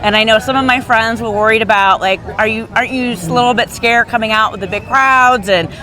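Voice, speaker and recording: A man's voice: sits high, fairly quick, a crowd in the background, rough recording. A man speaking urgently and persuasively in a street interview at an outdoor protest rally.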